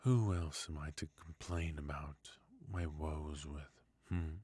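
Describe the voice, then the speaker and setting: Man playing a deep, slow-spoken haughty royal mentor. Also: steady tone, husky, heavily acted. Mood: calculated, resentful. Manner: formal, measured, wry, with musing hums and soft chuckles. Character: forgotten adult male royal mentor, resentful, haughty yet indulgent. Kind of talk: intimate ASMR fantasy roleplay.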